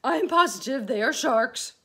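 A woman's voice: lively intonation, takes it slowly. A woman voicing a plump, gloomy male earthworm who wails in panic. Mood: alarmed. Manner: shrill, panicky wailing.

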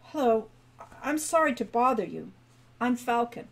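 A woman's voice: a little high, expressive, measured pace, creaky, exaggerated voice. A woman voicing a polite, earnest young male adventurer who speaks hesitantly.

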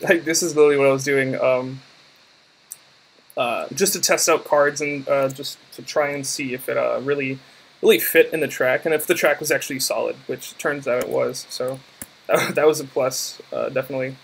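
Casting: male visual effects artist, mid-pitched voice, informal and hesitant, rambling through a work-in-progress walkthrough. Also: steady tone, moderate pace, gravelly, husky, low-quality recording. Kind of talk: casual screen-recording walkthrough.

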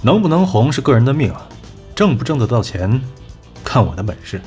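A man's voice: medium pitch, sing-song, fairly quick, darkish tone, faint music behind, natural voice. A man playing a confident, wry talent manager turned executive in an audio drama, assured and dry. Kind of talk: Chinese audio drama acting.

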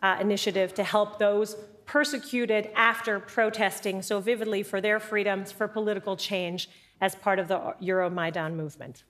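A woman's voice: mid-range voice, steady tone, normal speed, fairly bright tone. A woman in her forties introducing a guest on a conference stage in a formal presenter's manner. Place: large conference stage before an audience.

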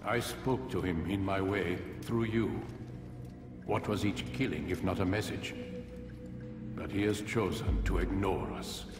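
A man playing a grave, wise older master of an assassin order in a video game, measured and instructive. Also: low-pitched. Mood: solemn counsel. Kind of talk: dramatic video game cutscene acting. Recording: very echoey stone hall, distant, music. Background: music.

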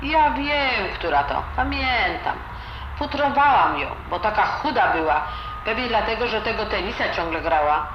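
A woman's voice: mid-range voice, lively intonation, normal speed, natural voice. A woman playing a blunt, disapproving old grandmother with a Silesian accent and grumbling, dialect-filled talk in an audio drama. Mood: knowing, gossipy. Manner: grumbling, colloquial, full of dialect words. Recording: roomy, dull, noisy home recording.

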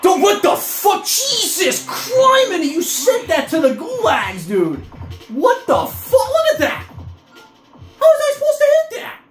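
Excitable young man yelling at a game. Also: extremely high pitch, unhurried. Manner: frustrated outbursts, cursing and yelling at the game. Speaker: gaming video creator. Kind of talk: casual gameplay commentary. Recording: roomy echo with faint game music.

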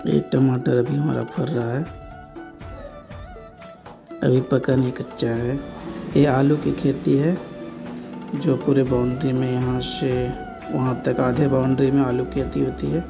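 Guy doing a casual vlog tour, gravelly voice, music playing behind him. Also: mid-range voice, flat delivery, measured pace, pauses often. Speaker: village vlogger. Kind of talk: casual vlog narration, showing around a farm.

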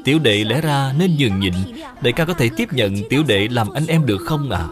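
A man's voice: mid-range voice, lively intonation, a little fast, over music, lightly acted. A man voicing a polite, earnest young boy with formal courtesy in an educational cartoon. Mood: earnest, respectful.

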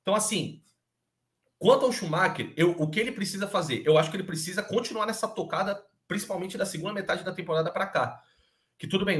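Fast-talking, emphatic young Brazilian man. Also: fairly high pitch. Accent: Brazilian Portuguese. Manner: animated, opinionated, stresses points emphatically. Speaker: podcast panelist. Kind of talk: casual chat about motor racing.

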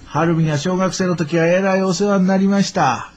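An actor playing a middle-aged shopkeeper father with a husky voice and Kansai accent in a radio drama, effusively polite. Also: high-pitched, fairly even intonation, moderate pace, dull audio, lightly acted. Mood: delighted, grateful.

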